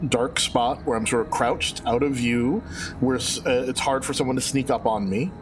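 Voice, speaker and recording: A man's voice: medium pitch, steady tone, normal speed. A man playing a tabletop game, easygoing and plainly describing what his character does. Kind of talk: casual play talk. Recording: noisy background hiss.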